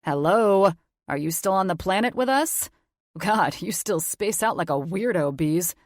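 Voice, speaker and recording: A woman's voice: lowish voice, expressive, measured pace, no echo, studio quality. A woman voicing a rude young man, an ex-boyfriend who talks in a mocking, defensive way. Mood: sneering.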